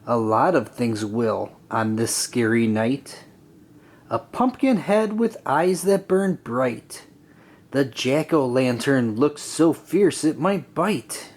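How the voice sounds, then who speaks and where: A man reading a rhyming children's story aloud in an expressive, deliberate, sing-song way. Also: mid-range voice. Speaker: storybook reader. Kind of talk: children's read-aloud narration.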